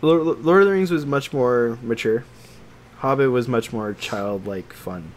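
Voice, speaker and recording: A man co-hosting a podcast in casual chat, talking like an excitable fan who swears freely. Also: mid-range voice, unhurried, a little hiss or hum. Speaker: podcast co-host.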